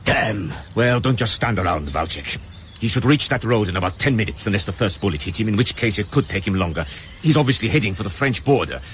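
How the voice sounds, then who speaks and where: An actor playing a cold, commanding KGB major in a radio drama, giving clipped, menacing orders. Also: male voice, fairly low pitch, lively intonation, quick pace, fairly bright tone, a little hiss or hum. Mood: cold, threatening.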